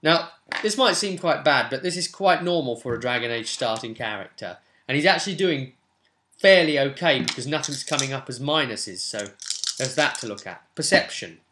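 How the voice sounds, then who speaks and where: A man's voice: mid-range voice, animated, normal speed, sharp tone. A man in his twenties chatting casually and thinking aloud as he explains a tabletop game to viewers. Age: 20s. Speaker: host of a tabletop roleplay channel. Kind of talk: casual explanatory chat while rolling a game character. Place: small home room, indoors. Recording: roomy echo, background hiss and clicks.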